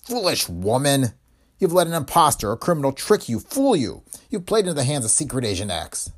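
A man voicing a cold, mysterious caller who makes slow, scornful pronouncements. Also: medium pitch, sing-song, breathy edge. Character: cold mysterious male voice on phone.

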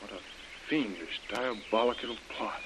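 A man playing a troubled, devoted young planter in an old radio drama, speaking haltingly and with regret. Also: fairly low pitch, fairly bright tone. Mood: bitter. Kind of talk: 1940s radio drama acting. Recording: muffled, noisy old radio recording.

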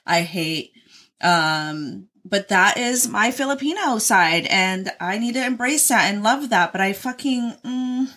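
A woman's voice: medium pitch, animated, measured pace, bright, forceful tone. A young adult woman co-hosting a podcast, talking candidly and casually, swearing a lot and laughing easily. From Oregon, US. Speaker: podcast co-host.

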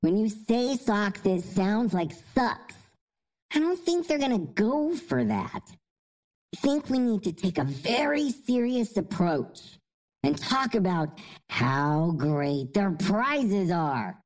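A woman's voice: medium pitch, big pitch swings, slow, a little mellow. A woman playing a bossy, earnest young girl with schemes, in a comedic audio sketch. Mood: exasperated. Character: bossy, scheming young girl, prize-obsessed best friend.

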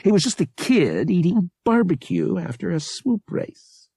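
A man's voice: high voice, very animated, unhurried, vocal fry, mellow, slightly rough, no room sound, studio quality, pitched above the performer's own voice, heavily acted. A man voicing an indignant, suspicious young brother who argues in an emphatic, exasperated way. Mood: accusing. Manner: emphatic, argumentative.

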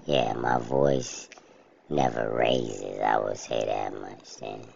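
Very deep, gravelly, slow-talking man. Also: a little hiss or hum. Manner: steady, plainspoken, self-described monotone. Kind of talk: casual monologue to camera. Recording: dull lo-fi recording.

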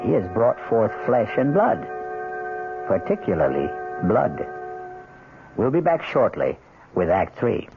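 An actor as a grave, wry storyteller hosting a radio mystery, narrating in a measured, ominous manner. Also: male voice, lowish voice, wildly swooping pitch, vocal fry, exaggerated voice. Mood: wryly ominous. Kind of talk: scripted radio drama narration. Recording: tinny vintage radio broadcast. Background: prominent music.

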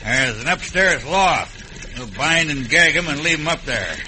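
A bossy, higher-voiced western outlaw. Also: male voice, animated, unhurried. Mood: scheming. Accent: American. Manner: folksy, commanding. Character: scheming, bossy Old West outlaw ringleader. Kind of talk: classic radio western acting. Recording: noisy old radio recording.

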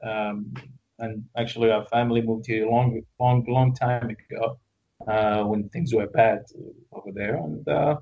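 A man from Iraq, a guest on a remote interview, telling a story in a halting, laughing way with many restarts. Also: on the low side, steady tone, mellow, highs cut off.

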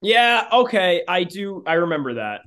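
A man's voice: sits high, very animated, normal speed, sharp tone. A man from the United States chatting casually as a podcast co-host. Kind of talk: casual chat.

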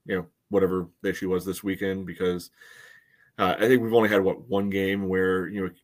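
A man co-hosting a sports podcast, giving casual soccer analysis in a measured, conversational way peppered with "you know.". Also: low voice, level tone.

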